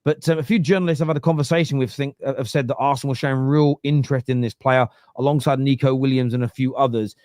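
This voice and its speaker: A man's voice: mid-range voice, steady tone, fast, few pauses, a little mellow, dry recording, studio quality. A man hosting a football podcast, reading an ad and asking questions in a jokey, loose and hesitant way. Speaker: podcast host. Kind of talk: casual football chat and ad read.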